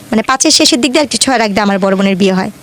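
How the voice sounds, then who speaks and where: A woman's voice: mid-range voice, animated, racing, a bit bright. A woman in her twenties from Dhaka with a Bangladeshi accent, telling her life story in an earnest, colloquial way as an interview guest. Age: 20s.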